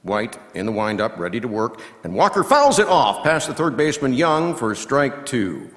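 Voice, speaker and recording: A man performing as a folksy baseball radio commentator, calling play-by-play in measured, punchy phrases with dramatic pauses. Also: medium pitch, big pitch swings, close to an everyday voice. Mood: keen, focused. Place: live reading in a large reverberant hall. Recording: echoey hall with long reverb.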